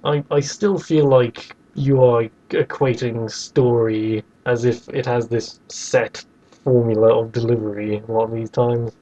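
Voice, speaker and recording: A man's voice: mid-range voice, steady tone, measured pace, mellow, lo-fi sound. A man co-hosting a podcast, debating casually in a wry, sceptical way. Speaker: podcast co-host.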